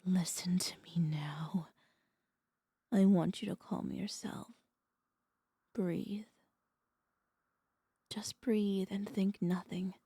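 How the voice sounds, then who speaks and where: Possessive vampire mistress for ASMR roleplay, played by a woman: breathy half-whispers, mellow and unhurried, soothing but commanding. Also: lowish voice, steady tone, no echo, studio quality, heavily acted. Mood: possessive, coaxing. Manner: slow, coaxing, commanding hypnotic delivery. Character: possessive, soothing female vampire mistress hypnotizing her pet.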